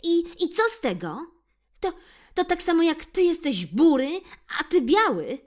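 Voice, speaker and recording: A woman voicing a calm, principled male storybook character who stands up to bullies. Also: very high voice, measured pace, pitched above the performer's own voice, heavily acted. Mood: earnest. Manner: earnest, lecturing. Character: blue-colored male friend, calm and principled.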